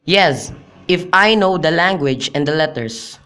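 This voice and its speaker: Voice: medium pitch, expressive, measured pace, bright, forceful tone, clean tone. An amateur performer playing a lovesick, miserable young nobleman in a radio drama, reading earnestly and declaiming.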